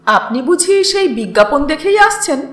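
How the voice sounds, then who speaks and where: A woman plays a proud young schoolboy in a storybook reading. The voice is high, animated and curious, forward but polite. It has roomy echo around it. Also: normal speed. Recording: roomy echo with music underneath.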